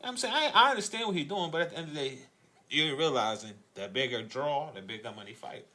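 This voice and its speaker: Quick, bright-voiced American man, lively pitch. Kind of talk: casual sports debate.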